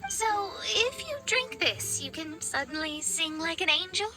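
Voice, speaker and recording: A woman's voice: very high-pitched, very animated, unhurried, over music, heavily acted, low-quality recording. A woman voicing a shy, easily flustered young woman in an anime video game, speaking in a hesitant stammer. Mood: curious.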